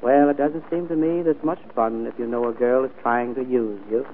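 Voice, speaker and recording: An American actor playing a sly, cheeky manservant and scheming brother in a 1940s radio drama, slangy and mock-deferential. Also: male voice, mid-range voice, steady tone, normal speed, close to an everyday voice. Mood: sly, needling. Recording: tinny, band-limited 1940s radio broadcast.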